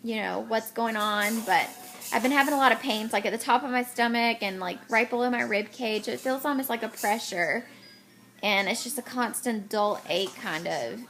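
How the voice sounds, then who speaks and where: A woman's voice: on the high side, moderate pace, a bit bright. A woman in her twenties chatting casually to the camera, rambling with many ums. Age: 20s. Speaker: vlogger.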